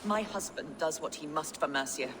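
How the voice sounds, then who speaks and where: A woman's voice: low-pitched, normal speed, natural voice. An actress playing a proud, pious, defiant captive medieval queen in a video game, speaking in a haughty, formal way. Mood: proud defiance. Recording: clear background noise.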